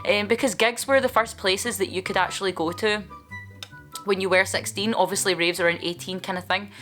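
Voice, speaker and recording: A woman's voice: fairly low pitch, fairly bright tone. A woman, vlogging in a chatty, candid way as she answers viewer questions, with playful asides. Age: 20s.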